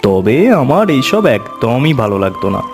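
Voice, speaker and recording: A middle-aged man playing an avuncular tantric storyteller, narrating his eerie past in a measured, suspenseful way. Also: mid-range voice, big pitch swings, over loud music. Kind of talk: dramatic horror story narration.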